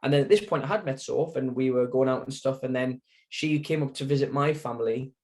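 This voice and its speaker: A man's voice: mid-range voice, a little fast, darkish tone. A young man with a Sunderland accent, a guest telling his story in a casual interview, rambling with lots of fillers and self-corrections. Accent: Sunderland (Mackem). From Sunderland, England.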